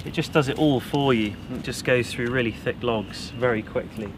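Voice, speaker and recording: A young British man speaks in a relaxed, enthusiastic way about his kit while standing in the forest. His voice is mid-pitched, and the outdoor camera audio carries clear background noise. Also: normal speed. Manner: relaxed, enthusiastic gear talk. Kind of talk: casual outdoor chat. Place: woodland outdoors.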